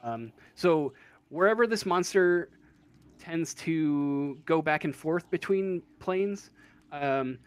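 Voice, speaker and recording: A man with a slightly high voice narrates a tabletop role-playing game as game master. He talks slowly and haltingly, often pausing to find the right word as he describes things. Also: lively intonation, clear, resonant tone. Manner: halting, searching for words as they describe.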